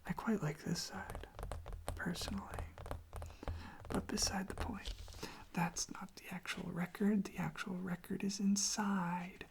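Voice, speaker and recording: Breathy, gravelly ASMR whisperer chatting softly. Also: mid-range voice, flat delivery, a little slow. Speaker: ASMR video host. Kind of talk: soft-spoken whispered ASMR, casual chat.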